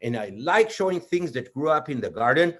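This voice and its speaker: A man co-presenting a children's puppet show in a playful, animated way, often repeating his phrases. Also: fairly high pitch, brisk.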